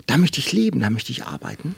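A man's voice: on the high side, very animated, quick pace, gravelly, husky, dry recording. A man interviewing a guest, asking playful, teasing questions in a conversational way.

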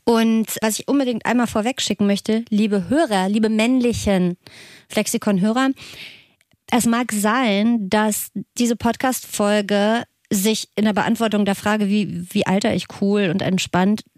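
A woman in her late thirties co-hosts a chat podcast in a relaxed, talkative way. She tells stories with a self-ironic edge. The sound is dry and close, with no room echo. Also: medium pitch, a little slow. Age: 30s. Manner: chatty, self-ironic, lively storytelling. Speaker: podcast co-host.

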